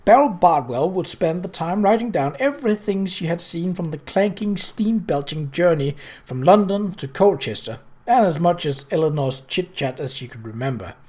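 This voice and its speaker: Lively, expressive storybook narrator. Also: mid-range voice. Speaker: audiobook narrator.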